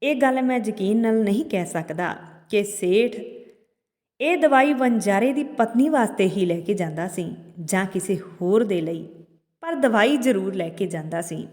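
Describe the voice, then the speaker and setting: A woman's voice: mid-range voice, animated, measured pace, darkish tone, natural voice. A woman voicing a servile, frightened male village healer in a story, deferential and pleading. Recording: long hall-like reverb on voice.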